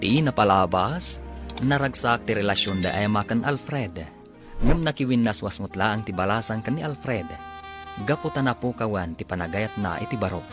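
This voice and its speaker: A man with an Ilocano accent narrating a radio serial in a formal, dramatic announcing style. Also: on the low side. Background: music.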